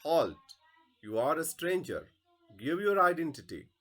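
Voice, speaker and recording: A man's voice: on the high side, big pitch swings, unhurried, a bit bright, heavily acted. A man reading the part of a bored, officious palace guard in a stage play, in a declamatory way. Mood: stern.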